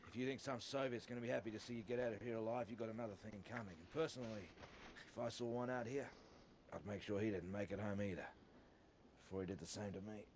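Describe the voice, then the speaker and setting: A man playing an injured, sardonic survivor with a gruff manner. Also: fairly low pitch, steady tone, quick pace, noisy background, close to an everyday voice. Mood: weary, bitter. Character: injured sardonic male survivor fond of whiskey.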